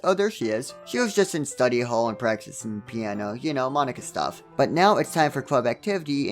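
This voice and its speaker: A man narrates a comedic game review in a mid-pitched voice. He tells the story in a scripted way and drops in dry, sarcastic asides. Music plays along behind him.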